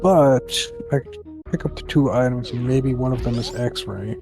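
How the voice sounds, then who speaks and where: A man playing a game and thinking aloud, muttering his plans in a halting way. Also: mid-range voice, gravelly, over music. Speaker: speedrunner.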